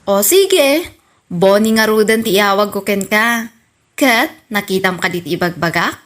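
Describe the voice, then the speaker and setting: A woman's voice: medium pitch, lively intonation, measured pace, a bit bright. A woman with an Ilocano accent playing a chatty young gossip in a comedy radio drama. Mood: gossipy.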